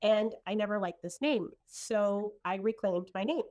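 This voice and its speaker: A woman's voice: medium pitch, measured pace, a little mellow. A middle-aged woman from El Salvador, sharing personal stories in an earnest, reflective conversation. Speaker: guest in a conversation.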